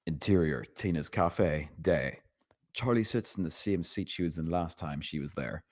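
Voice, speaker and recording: Deep male voice, screenplay narration, stage directions read aloud. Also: moderate pace.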